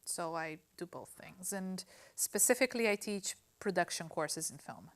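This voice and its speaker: A woman from Brazil, speaking with a Brazilian Portuguese accent, answers interview questions as a film professor in a friendly way, often pausing with ums. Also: fairly low pitch, expressive.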